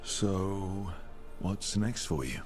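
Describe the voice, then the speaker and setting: An easygoing video game hero with a low voice in a roomy sound. He is gentle and supportive and keeps his words few. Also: drawn-out words, faint music behind. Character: supportive, easygoing adult male fantasy hero.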